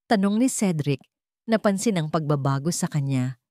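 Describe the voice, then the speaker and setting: A woman's voice: sits low, expressive, normal speed, warm tone, dry recording, studio quality. A woman reading a scripted dramatic story as its narrator.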